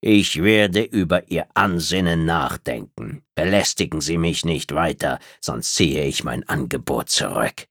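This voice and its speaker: A man voicing a cold, formal female reptilian housekeeper who speaks slowly and condescendingly. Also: a bass voice, lively intonation, fairly bright tone, breathy edge, no echo, studio quality, heavily acted. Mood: curt. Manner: slow, icy, condescending.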